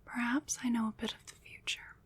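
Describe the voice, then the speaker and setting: A woman playing a gentle, consoling witch in an ASMR roleplay, whispering breathily in a slow, soothing, deliberate way. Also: fairly high pitch, level tone, husky. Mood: tender reassurance.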